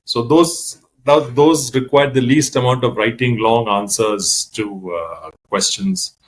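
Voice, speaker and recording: A man from Kerala with a Keralite accent, a podcast guest telling his story in a relaxed, wry manner. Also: medium pitch, slow. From Kerala, India. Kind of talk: casual conversational storytelling.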